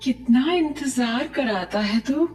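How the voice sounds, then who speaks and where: A woman's voice: sits high, expressive, slow, darkish tone. A woman playing an undead mother in a horror audio drama, sweetly coaxing and seductive as she tries to lure people. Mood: flirtatious, coaxing. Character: undead village mother, coaxing, seductive, sinister luring ghost. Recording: echoey, distant, music underneath.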